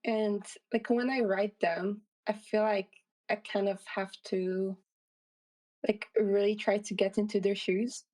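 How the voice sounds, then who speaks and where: A woman's voice: mid-range voice, flat delivery, slow pace, darkish tone, far from the mic. A woman speaking casually and thoughtfully as an interview guest, feeling her way through her answers with some hesitation. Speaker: interview guest, indie game creator.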